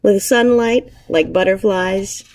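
A woman in her thirties, an elementary teacher reading a picture book aloud to children with measured, expressive storybook phrasing. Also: mid-range voice, a little hiss or hum. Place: indoors, empty school classroom.

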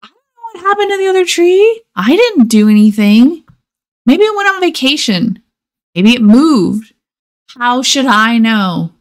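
A woman's voice: high voice, big pitch swings, measured pace, pitched above the performer's own voice. A woman voicing a jealous, anxious male porcupine from a children's storybook, dramatic, exclamatory and fretful. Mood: evasive, nervous. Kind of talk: children's storybook read-aloud.

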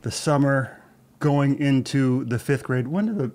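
A man in his forties, born in Thailand and raised in Southern California, telling his story as a podcast guest in a thoughtful, measured way. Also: medium pitch, a little mellow. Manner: measured, thoughtful, recalls details with small restarts. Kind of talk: relaxed conversational storytelling. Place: podcast studio with shelves and plants. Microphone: studio desk mic with headphones.

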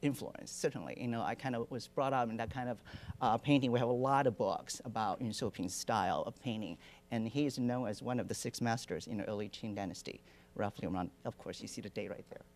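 A middle-aged man from Taiwan speaks, mid-pitched and easygoing. He pauses often with fillers and slips in self-deprecating jokes. He talks into a handheld mic in a lecture hall. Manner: relaxed, hesitant with many fillers, self-deprecating humor. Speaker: artist giving a lecture. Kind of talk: lecture presentation. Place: lecture hall with projection screen. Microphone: handheld microphone.

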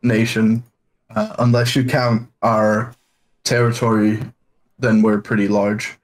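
A man's voice: fairly low pitch, little pitch movement, slow, low-quality recording. A man from Denmark playing a card game over voice chat, sarcastic and self-mocking. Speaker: streamer, duo partner. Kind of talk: casual gaming chat.

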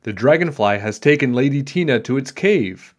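A man's voice: medium pitch, animated, moderate pace, voice pushed up, lightly acted. A man voicing a grand, incredulous storybook king in a theatrical, exclaiming manner. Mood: alarmed.

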